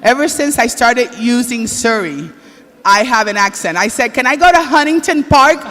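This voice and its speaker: A woman's voice: fairly high pitch, lively intonation, normal speed, fairly bright tone. Bubbly woman host with a Spanish-influenced accent. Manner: bubbly, chatty. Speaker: awards show host. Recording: long hall reverb with faint noise.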